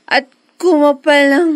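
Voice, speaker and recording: A young Filipina daughter, naive and anguished. Her voice is very high and moves slowly, with a plaintive, halting delivery. It is emotional radio drama acting, recorded close and dry. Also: no room sound. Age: young adult.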